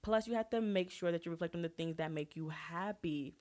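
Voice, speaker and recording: A woman's voice: lowish voice, brisk, darkish tone. A young woman hosting her own podcast, talking casually and earnestly to listeners while congested and sniffly from a cold. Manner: earnest, asks listeners direct questions. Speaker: podcast host. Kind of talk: casual solo podcast advice talk.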